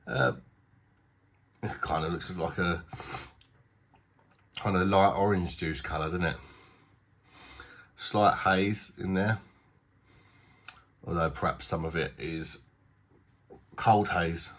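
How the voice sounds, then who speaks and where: A British man with a low, slightly husky voice that still has a bright, forceful edge. He chats casually and rambles a little, poking fun at himself. He sounds a touch bunged up from a blocked nose. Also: slow, pauses often. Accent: British English. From Britain. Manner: chatty, rambling, self-deprecating. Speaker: beer reviewer. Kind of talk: casual video review.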